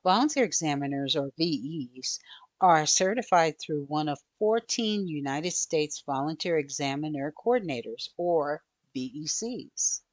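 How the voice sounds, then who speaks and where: A woman gives a prepared presentation as a team leader. Her voice is low and slow, recorded close and dry with very little room sound. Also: animated, dry recording. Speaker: volunteer examiner team leader.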